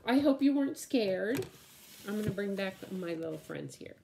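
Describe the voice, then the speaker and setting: A middle-aged woman, a preschool teacher, reading a picture book aloud to small children and explaining things simply in a deliberate, drawn-out way. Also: mid-range voice, lively intonation, creaky, warm tone, slight background noise. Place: indoors, room at home with window blinds.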